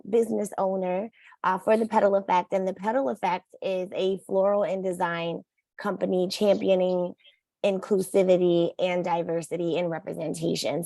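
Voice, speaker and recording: A woman's voice: on the low side, vocal fry, no echo. A woman from Nigeria introducing herself and her business on a panel, upbeat, with um fillers. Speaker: panelist, floral business owner.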